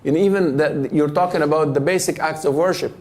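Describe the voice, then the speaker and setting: A man giving a public lecture in a hall, with a voice on the higher side. He speaks thoughtfully and often restarts a phrase before finishing it. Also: fairly quick, darkish tone.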